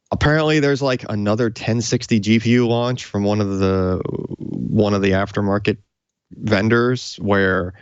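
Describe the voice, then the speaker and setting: Man, fairly low voice, rambling casual tech commentary, dry but slightly dull recording. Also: clear, resonant tone, dry recording. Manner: rambling, hedging, conversational. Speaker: podcast co-host.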